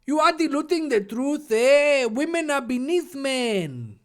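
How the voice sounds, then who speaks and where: Smug teenage bully with mock Mexican accent, pitched up. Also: very animated, measured pace. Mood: smug, scornful. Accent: exaggerated mock Mexican. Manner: smug, drawling.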